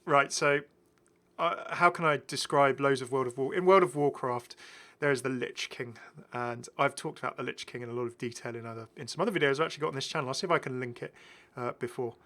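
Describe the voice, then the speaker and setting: A man with a bright, forceful voice at a middle pitch hosts a gaming video. His commentary is casual and enthusiastic, full of chatty asides. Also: quick pace. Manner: chatty, prone to chuckling asides.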